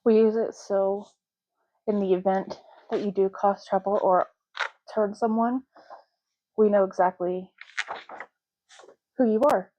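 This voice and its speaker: A woman speaking softly in an ASMR roleplay, hushed, unhurried and gently explanatory, close to the microphone. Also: medium pitch, steady tone, no room sound, muffled recording. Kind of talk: soft-spoken ASMR roleplay.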